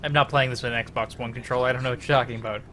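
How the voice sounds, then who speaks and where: A man's voice: medium pitch, fairly even intonation, racing, pushed and bright. A man giving casual, irreverent live commentary while playing a game, joking as he goes. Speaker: streamer playing a truck game.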